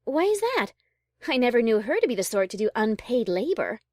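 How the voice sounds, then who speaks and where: Woman as a high, prim, worried lady pony. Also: very animated, fairly quick, a little mellow, dry recording. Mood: skeptical, puzzled. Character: prim, worried, suspicious high-class female pony musician. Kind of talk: audio drama character reading.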